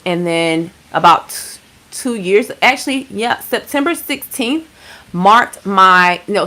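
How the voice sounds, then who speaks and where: A woman in her thirties from Georgia runs a relaxed morning livestream, chatting easily with her viewers. Her voice is bright and forward, and her delivery is loose and friendly, with casual cursing and a string of shout-outs. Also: medium pitch. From Georgia, USA. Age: 30s. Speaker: livestream host.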